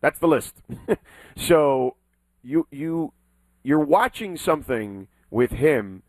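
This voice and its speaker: A man's voice: on the high side, expressive, slow, darkish tone, dry recording, low-quality recording. A man co-hosting a casual sports talk show, emphatic and insistent, leaning hard on the key words.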